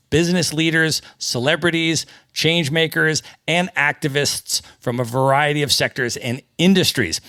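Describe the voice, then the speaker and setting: A man's voice: fairly high pitch, fairly bright tone, no echo, studio quality. A man hosting a travel podcast, reading an introduction and interviewing with upbeat enthusiasm. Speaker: podcast host.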